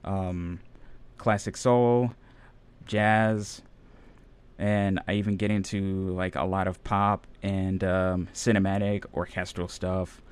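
Relaxed rambling male radio DJ. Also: sits low, slow pace, clean tone. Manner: relaxed, rambling, many fillers. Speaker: radio DJ and audio editor. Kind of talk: casual radio interview chat.

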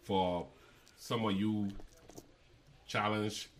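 A man's voice: fairly low pitch, steady tone, very slow, a little hiss or hum. A man, a guest telling stories about growing up in a loose, joking way with laughs between them. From Liberia. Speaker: guest, host's father. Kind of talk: casual storytelling chat.

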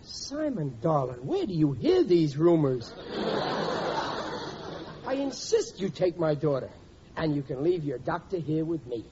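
A muffled, hissy vintage radio recording of a middle-aged sitcom father. He is a blustery, wheedling working man with poor grammar, pitched high and very lively in his swings. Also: measured pace, pauses often. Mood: eager, wheedling. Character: blustery, scheming, soft-hearted working-class family father. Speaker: sitcom lead actor. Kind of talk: 1940s radio comedy acting.

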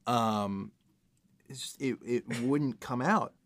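A man's voice: medium pitch, takes it slowly, a bit bright, no echo. A man talking casually as a podcast host and writer, with a self-deprecating, joking manner. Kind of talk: casual panel discussion.

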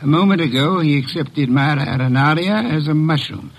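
Male, shrewd pompous middle-aged detective for classic radio mystery; husky, gravelly, courtly and articulate. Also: on the high side, brisk, low-quality recording. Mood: accusing. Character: shrewd, imperious, portly private detective, middle-aged man. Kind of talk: old-time radio detective drama acting.

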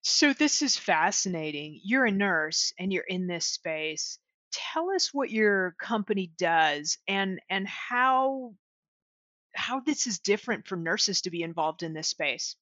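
A woman's voice: mid-range voice, lively intonation, a little slow, bright, forceful tone, no room sound, dull audio. A woman hosting a healthcare podcast and interviewing her guest in an upbeat, encouraging way. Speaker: podcast host.

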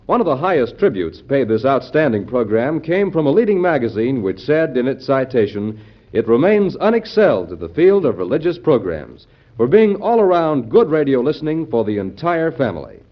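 Animated vintage American radio announcer, male. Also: medium pitch, normal speed. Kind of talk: 1940s radio announcing, scripted promotional read. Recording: dull old radio broadcast, music behind. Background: music.

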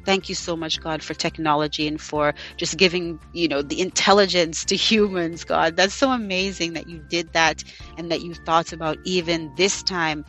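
A woman's voice: on the low side, steady tone, normal speed, a bit bright, soft background music. A young woman hosting a faith podcast. She prays fervently, then chats casually and tells personal stories with many fillers. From United States, partly raised in Jamaica. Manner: fervent, repeats thanks and "God" often; chatty, many "you know" fillers. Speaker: podcast host. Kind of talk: prayer and personal storytelling.

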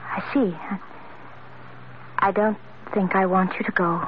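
An actress playing an anxious, soft-hearted woman in danger in a classic radio drama, hesitant and pleading. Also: medium pitch, steady tone, a little slow, close to an everyday voice. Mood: wistful, reluctant. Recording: tinny, hissy vintage radio broadcast.

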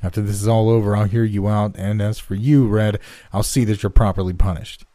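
A man's voice: fairly low pitch, steady tone, a little fast, warm tone, dry recording, studio quality, natural voice. A man voicing a stern, wealthy middle-aged industrialist who gives clipped orders. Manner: commanding, clipped.

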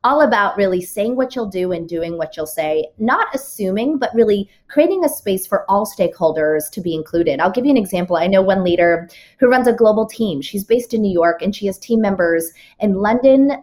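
A woman's voice: mid-range voice, lively intonation, fairly quick, few pauses, darkish tone. A woman author, a guest on a podcast, laying out her rules point by point and backing each with a story. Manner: structured, explains with examples and numbered rules; occasional restarts and fillers. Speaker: author and keynote speaker, guest. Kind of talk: podcast interview answers.

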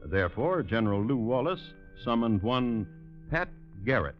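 A man's voice: medium pitch, very animated, normal speed. A man narrating a vintage radio crime show in a dry, wry, formal storytelling manner. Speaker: host and narrator of crime drama. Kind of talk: 1950s radio scripted storytelling. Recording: dull old radio recording with music.

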